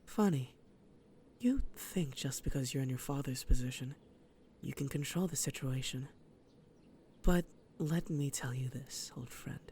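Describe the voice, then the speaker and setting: An actor playing a sly serial killer villain in an audio drama, taunting and theatrical, with a breathy voice that often drops to a whisper. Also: mid-range voice, dark tone, a little hiss or hum, lightly acted. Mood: smug, taunting. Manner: taunting, theatrical, slow and menacing. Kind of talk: audio roleplay drama acting.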